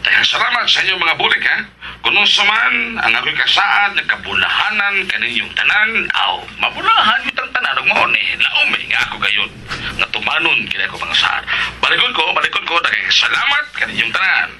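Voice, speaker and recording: A man's voice: high-pitched, wildly swooping pitch, brisk, sharp tone, full character voice. A man playing an effusive politician giving a declamatory victory speech in a radio drama. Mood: grandiose, thankful. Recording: slightly noisy radio drama recording.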